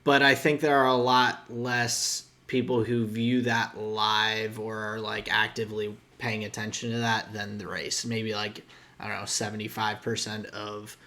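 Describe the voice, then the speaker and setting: Young man, podcast co-host, unhurried, rambling delivery. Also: on the low side, gravelly, pushed and bright. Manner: rambling, restarts often, guesstimates. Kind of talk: casual chat.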